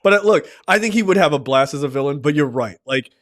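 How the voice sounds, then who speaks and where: A man co-hosting a pop culture podcast in casual, profane chat, getting excited and laughing often. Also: fairly high pitch, quick pace, dry recording. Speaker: podcast co-host.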